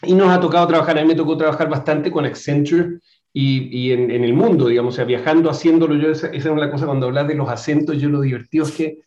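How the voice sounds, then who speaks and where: A man's voice: fairly high pitch, steady tone, fast talker, darkish tone. Male host, joking and playful, speaks to the listeners directly, lo-fi sound. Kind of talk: casual conversational interview. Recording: echoey, dull lo-fi room sound.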